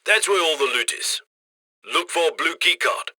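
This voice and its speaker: Cocky heist boss for a video game, higher voice, super bright n punchy, slick chatty mission orders. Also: male voice, lively intonation, normal speed, no room sound, clean studio sound. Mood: brisk, instructing. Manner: slick, conversational, egging the crew on. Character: cocky, upbeat male criminal heist handler. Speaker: heist handler giving mission directions.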